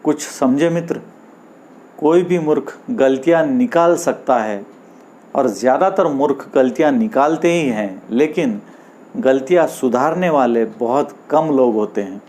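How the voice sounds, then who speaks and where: A man voicing a wise, reassuring friend in a moral story, giving measured, instructive advice. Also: medium pitch, animated, darkish tone, lightly acted. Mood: calm, advising. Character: wise, reassuring male friend advising a dejected painter.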